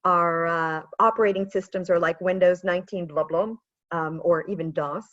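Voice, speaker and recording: A woman's voice: lowish voice, moderate pace, dry recording. Chatty woman with an American accent. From Israel. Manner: chatty, animated, self-deprecating asides. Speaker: pitch coach. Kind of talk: webinar presentation. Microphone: video call. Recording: dull lo-fi video call audio.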